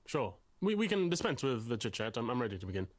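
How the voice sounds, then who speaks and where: A man's voice: mid-range voice, big pitch swings, fast talker, close to an everyday voice. An actor playing a pompous, anxious, lovesick male literature student in a video game, speaking in a verbose, formal and hesitant way. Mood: awkward, impatient. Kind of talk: visual novel game voice acting.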